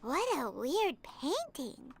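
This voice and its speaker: A woman voicing a tiny, timid fairy companion in a video game, squeaky and childlike with a whiny, exclaiming manner. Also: sing-song, slow, heavy background noise, heavily acted. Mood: curious, uneasy. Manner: whiny, exclaiming, speaks in third person. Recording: game music loud behind. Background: loud game music.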